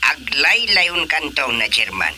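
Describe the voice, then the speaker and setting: A man's voice: fairly high pitch, very animated, unhurried, pushed and bright, slight background noise, exaggerated voice. An actor playing a plain-spoken elderly father with an Ilocano Filipino accent in a radio drama. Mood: coaxing.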